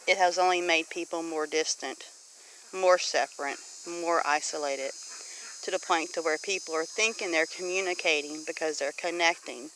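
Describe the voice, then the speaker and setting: Bright, earnest Southern US woman exhorting viewers. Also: fairly low pitch, slight background noise, poor audio. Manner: earnest, exhorting, repeats phrases for emphasis. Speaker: homesteading vlogger. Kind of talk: informal preachy monologue to viewers. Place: outdoors on a homestead.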